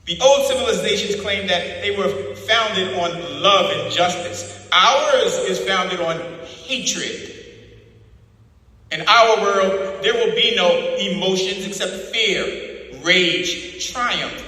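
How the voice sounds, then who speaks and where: In a cavernous, reverberant space, a cold zealot of an interrogator acted by a man declaims a dystopian creed. The voice is hard-edged and forceful, slow and schoolmasterly, every phrase emphatic. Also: high voice, voice pushed up, full character voice. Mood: fanatical, booming. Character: cold, zealous male interrogator preaching absolute power. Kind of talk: dramatic reading of a dystopian novel. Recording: very echoey hall, long reverb.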